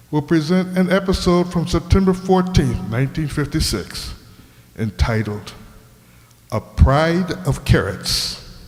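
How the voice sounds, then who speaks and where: A man hosting a radio players' show, giving a scripted introduction in a deliberate, showman-like way. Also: mid-range voice, a little mellow. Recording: echoey hall with long reverb.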